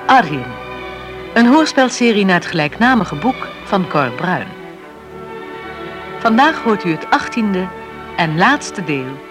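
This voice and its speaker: A man's voice: high voice, very animated, takes it slowly, pauses often, exaggerated voice. A man formally announcing a radio drama serial. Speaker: series announcer. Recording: music behind the voice.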